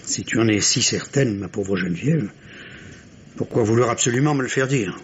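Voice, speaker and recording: An actor playing a cool, impatient, middle-aged French surgeon in a formal, exasperated manner, in a 1950s-style radio drama. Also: male voice, fairly low pitch, moderate pace, natural voice. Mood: weary, cold. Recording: dull, noisy old radio recording.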